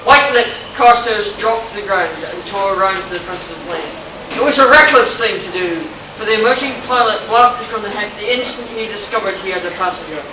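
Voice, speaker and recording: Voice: mid-range voice, fairly quick. A narrator reading pulp adventure dramatically for a live radio play, stumbling over words now and then, in an echoey room. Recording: very echoey hall, far-off mic, clear noise.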